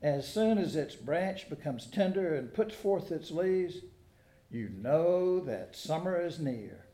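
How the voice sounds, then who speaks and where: Male preacher, measured and explanatory, soft-edged mellow tone, slow delivery in an echoing church. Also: fairly high pitch, expressive. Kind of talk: sermon with scripture reading. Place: indoors in a church. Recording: roomy, echoing church sound.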